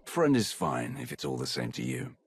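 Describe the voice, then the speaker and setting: An actor playing a curt, commanding dark Sith warrior in a video game, with a gravelly, husky voice. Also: male voice, extremely low pitch, sing-song, moderate pace, exaggerated voice. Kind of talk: video game cutscene acting.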